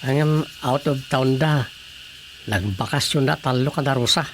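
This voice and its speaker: A man's voice: mid-range voice, unhurried, close to an everyday voice. Grumbling male village councilor, played by an actor. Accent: Filipino. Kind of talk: radio drama comedy acting. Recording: faint background noise.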